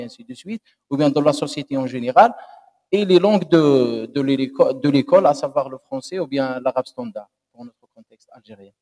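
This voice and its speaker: A man's voice: a little high, dark tone. Man defending his thesis, reverberant hall. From Algeria. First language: Algerian Arabic. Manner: measured, explanatory academic tone. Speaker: doctoral candidate. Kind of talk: answering a thesis jury. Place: university lecture hall.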